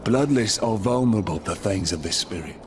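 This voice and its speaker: A deep male voice for a grave fantasy guide played by an actor. He gives measured, ominous warnings as in a game cutscene, with loud noise around him. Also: lively intonation, close to an everyday voice. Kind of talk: video game cutscene acting.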